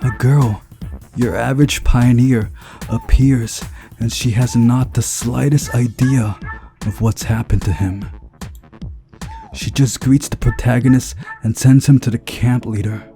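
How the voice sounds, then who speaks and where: A man's voice: medium pitch, steady tone, a little slow, vocal fry, dark tone, soft background music. A man narrating a visual novel aloud as he plays through it. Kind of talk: reading a story aloud, narration.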